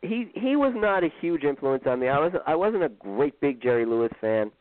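A man in his fifties, an author being interviewed, talking casually and self-deprecatingly with frequent pauses and ums. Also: fairly high pitch, very animated, dry recording. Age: 50s. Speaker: author guest. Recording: tinny phone-line sound, dry.

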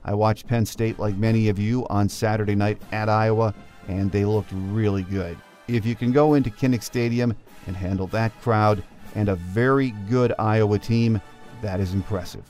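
A man's voice: lowish voice, steady tone, moderate pace, a little mellow, faint music behind. An American man hosting a sports podcast, reading scripted segments and putting questions to a guest. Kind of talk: scripted podcast narration and interviewing.

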